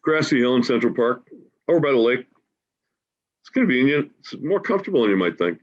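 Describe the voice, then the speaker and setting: A man in his forties acting a grieving, wry homeless man in a staged play reading, halting and emotional in a conversational way. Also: mid-range voice, big pitch swings. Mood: wry, easygoing. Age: 40s. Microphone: video call. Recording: dull, lo-fi video call audio.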